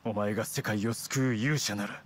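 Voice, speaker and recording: An actor playing a stern, loyal knight in a fantasy video game, speaking with solemn, formal resolve. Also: male voice, on the low side, steady tone, moderate pace, crowd noise, natural voice. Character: stern, loyal armored knight pledging protection.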